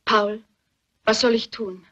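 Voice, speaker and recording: An earnest, anxious daughter with secrets, in a radio mystery. Her voice is slightly high and husky, with a muffled, dull sound. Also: level tone, slow, fairly bright tone. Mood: agitated. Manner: earnest, dramatic. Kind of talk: German radio mystery play acting.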